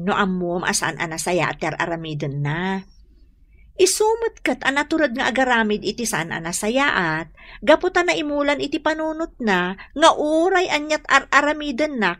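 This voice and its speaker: A woman's voice: high-pitched, sing-song, normal speed, a bit bright, no room sound. A woman with a Filipino accent playing a frank, protective young best friend giving advice in a radio drama. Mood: admonishing. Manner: frank, advising. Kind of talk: Ilocano radio drama acting.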